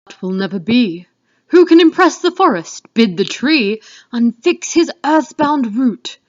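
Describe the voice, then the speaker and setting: A woman's voice: fairly high pitch, lively intonation, unhurried. A woman reading the part of Macbeth, a fearful, defiant king, in a declamatory Shakespeare dramatic reading. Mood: defiant, demanding. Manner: declamatory, exclamatory. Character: Macbeth, male Scottish thane turned king, fearful and defiant. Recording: dull lo-fi home recording.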